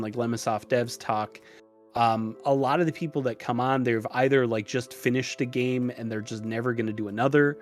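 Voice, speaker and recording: A man talking casually as a podcast guest, explaining things in a loose, rambling way with hesitations. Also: medium pitch. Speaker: podcast guest who runs a tutorial channel. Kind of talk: casual interview conversation. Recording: music mixed under the voice. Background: music.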